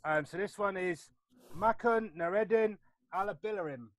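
A man's voice: sits high, normal speed, a bit bright. A man co-hosting a casual chat, quizzing a guest with jokey, self-mocking humour and frequent laughs. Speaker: podcast co-host.

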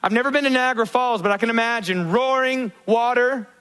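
A male pastor preaching a sermon, asking the congregation questions and acting out quotes in lively voices. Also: very high voice, brisk, clean tone. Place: church.

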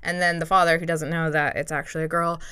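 A woman's voice: low voice, level tone, quick pace, pushed and bright, smooth, no echo, clean studio sound. A young woman presenting a topic on a casual chat podcast, chatty with lots of fillers and frequent laughter.

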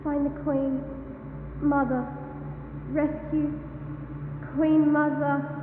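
A woman playing an eerie girl talking in her sleep in a sci-fi audio drama, murmuring in a halting trance. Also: high voice, level tone, background noise. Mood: trancelike. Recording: dull, distant echoing hall, music underneath.

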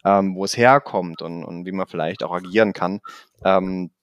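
A middle-aged man from the Rhineland with a mixed Swabian and Rhineland accent, talking fast and energetically as a podcast guest, with plenty of fillers and self-mocking jokes. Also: low-pitched, steady tone, clear, resonant tone, dry recording, highs cut off. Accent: mix of Swabian and Rhineland German. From Koblenz, Rhineland, Germany. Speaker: podcast guest, training institute manager. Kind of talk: casual interview talk.

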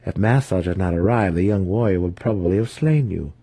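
A man voicing a scheming female dark elf matron in a fantasy audiobook, condescending, threatening and sneering. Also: sits low, brisk, husky.

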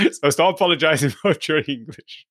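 Brazilian man, podcast host, teasing and quick to laugh, dry close sound. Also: fairly high pitch, fairly bright tone, dry recording. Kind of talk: conversational interview.

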